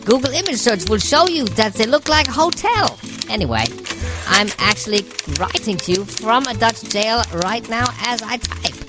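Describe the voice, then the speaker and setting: Woman deadpan reading a letter over music. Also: on the high side, moderate pace.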